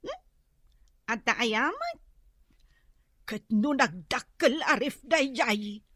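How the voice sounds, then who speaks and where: A woman's voice: on the high side, big pitch swings, slow, fairly bright tone, a little hiss or hum, full character voice. A woman playing a nosy, envious, gossiping housewife who mutters about neighbors in a comedic radio drama.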